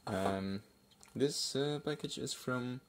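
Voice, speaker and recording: A man's voice: mid-range voice, slow pace. A man giving casual, hesitant unboxing commentary as a hobby builder, with offhand trailing asides. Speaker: hobby builder and video host. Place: indoors at a wooden table. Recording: faint noise, crinkling packaging. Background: clicks and crinkling plastic bags.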